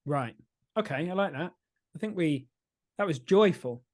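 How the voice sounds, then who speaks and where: A man's voice: a little high, expressive, normal speed. A man co-hosting a podcast in casual chat, with a jokey, tongue-in-cheek way of talking. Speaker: podcast co-host.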